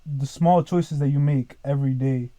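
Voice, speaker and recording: A man's voice: medium pitch, fairly even intonation, moderate pace, warm tone. A 20-year-old man talking casually as a podcast guest, rambling and leaning heavily on fillers like "like" and "dude". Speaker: podcast guest, business owner.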